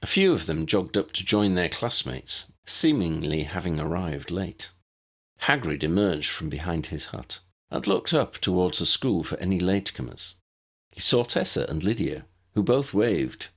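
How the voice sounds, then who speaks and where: A man's voice: low-pitched, very animated, moderate pace, a bit bright. A man reading scripted story narration as an audiobook narrator.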